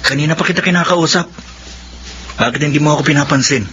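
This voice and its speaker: An actor playing an earnest young student with a Filipino accent in a radio drama. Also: male voice, fairly high pitch, quick pace, dull audio, lightly acted. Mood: hurt, hesitant. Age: young adult. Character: earnest young student hurt by his friend. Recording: band-limited radio drama, music behind.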